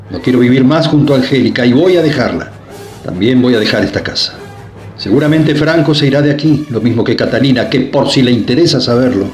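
A man's voice: mid-range voice, darkish tone, roomy sound, natural voice. A man voicing a resolute middle-aged son who confronts his mother in a radio drama. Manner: firm, earnest, impassioned.

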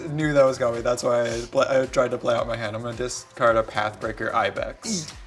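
A man playing a card game, bantering playfully with quick reactions in casual chat. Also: mid-range voice, steady tone, fairly bright tone. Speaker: player. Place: indoor studio at a game table.

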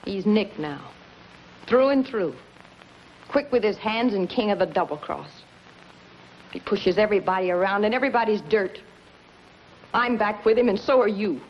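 A woman's voice: mid-range voice, moderate pace, long pauses, natural voice. An actress in her thirties playing a bitter, scheming, world-weary gangster's woman, in clipped, dramatic 1950s noir style. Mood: scheming, hard. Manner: hard-boiled, clipped, dramatic. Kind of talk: 1950s noir film acting. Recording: dull, noisy 1950s film soundtrack.

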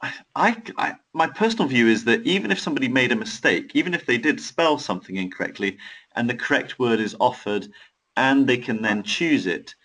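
A man hosting a live software webinar, often repeating words as he explains and reads out viewer comments. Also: medium pitch, animated, a little fast. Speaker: webinar host.

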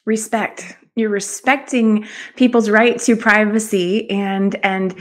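A woman's voice: mid-range voice, level tone, slow. A middle-aged woman hosting a conversational interview over a video call. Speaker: show host, confidence coach.

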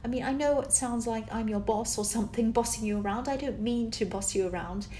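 A tired woman with a slightly croaky voice, giving a casual tarot reading to camera in a rambling, encouraging way full of fillers. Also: on the high side, a little fast, warm tone, a little hiss or hum. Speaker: tarot reader. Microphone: camera with external microphone.

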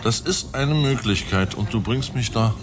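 An actor in a radio play, playing a dry, methodical police detective who reasons in a wry, reflective way. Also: male voice, medium pitch, normal speed, close to an everyday voice. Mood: dry, pondering. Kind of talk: naturalistic German radio play acting.

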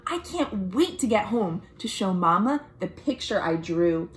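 A young American woman reads a picture book aloud to children, expressive and deliberate, with friendly asides like a storytime librarian. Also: medium pitch. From United States. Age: young adult. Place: small room at home.

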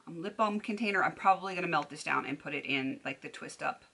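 Woman in her 30s, casual beauty vlogger, bright tone with a slightly low pitch, rambling delivery. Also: quick pace, vocal fry. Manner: chatty and rambling, many ums and restarts. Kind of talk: casual chat to camera. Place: bedroom at home. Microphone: camera at desk distance.

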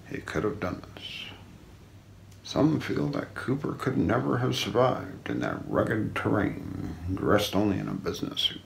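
Male ASMR narrator, heavy fry, very wide swings in pitch, hushed and deliberate scripted delivery. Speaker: ASMR role-play performer. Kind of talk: ASMR, scripted mystery narration role play. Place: small indoor room. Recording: faint background noise.

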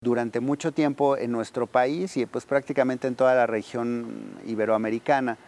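A man's voice: mid-range voice, fairly even intonation, normal speed, background noise. A man, explaining thoughtfully in an interview and often searching for words. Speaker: archive deputy director, interviewee. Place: garden outdoors. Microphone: lapel.

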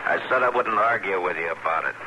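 An actor playing a steady, terse frontier marshal, laconic and understated, in a 1950s radio western. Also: male voice, low-pitched, fast, muffled recording. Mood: thoughtful, stubborn. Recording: noisy 1950s radio recording.

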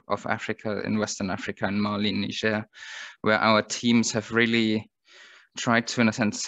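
A man in his thirties speaking on an online panel through a headset, measured and searching for words. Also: fairly low pitch, a bit bright, no echo. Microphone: headset on video call.

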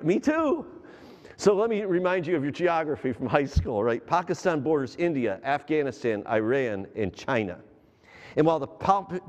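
A middle-aged male pastor preaching from a large church stage with an animated delivery, putting questions straight to the congregation. His voice sits at an ordinary middle pitch and carries a long reverb from the hall. The headset mic keeps him up close under that echo. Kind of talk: sermon.

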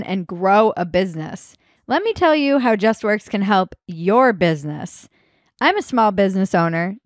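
A woman's voice: medium pitch, dry recording, studio quality. A woman speaking as a podcast host, in interview chat and host-read sponsor spots.

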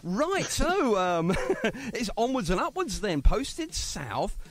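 A man's voice: sits high, huge pitch swings, takes it slowly. A man hosting a radio show, chatty and jokey, making puns as he interviews a guest. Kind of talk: casual radio chat and interview.

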